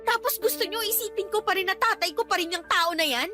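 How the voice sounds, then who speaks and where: A woman's voice: extremely high pitch, quick pace. A Filipino woman playing an outspoken, fed-up young adult daughter in a radio drama, indignant and reproachful. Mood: angry, hurt. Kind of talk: Tagalog radio drama acting. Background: background music.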